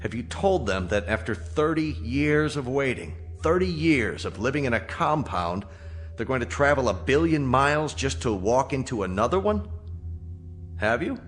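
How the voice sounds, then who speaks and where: An actor playing a reasonable military colonel in a radio drama, polite and probing in a measured way. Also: male voice, mid-range voice, sing-song, a little hiss or hum, natural voice. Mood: concerned, probing. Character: courteous, reasonable military colonel commanding a rescue spaceship. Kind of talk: radio drama acting, conversational.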